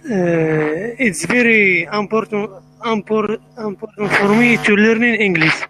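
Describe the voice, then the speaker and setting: Halting Moroccan man calling in, slow speech. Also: high-pitched, heavy background noise. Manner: halting English, hesitant, many uhs. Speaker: caller. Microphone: video call.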